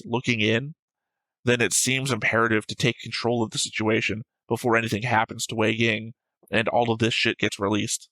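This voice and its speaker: A man with a gravelly edge to his voice talks through his reasoning in a casual, analytical chat. He argues his points and explains them in a fairly level delivery. The sound is close and dry. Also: medium pitch, a bit bright, dry recording, poor audio. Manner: argumentative, explanatory. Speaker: host of an anime reaction show.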